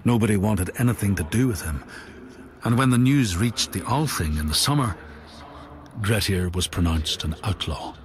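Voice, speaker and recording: An actor playing a grave storyteller who narrates Norse legends in a solemn, deliberate way for a video game. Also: male voice, lowish voice, animated, a little hiss or hum, lightly acted. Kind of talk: scripted saga narration.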